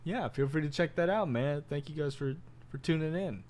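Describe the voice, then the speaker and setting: A man's voice: a little high, moderate pace, a little mellow. Laid-back young man who swears freely. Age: 20s. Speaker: guest rapper and streamer. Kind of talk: casual podcast chat.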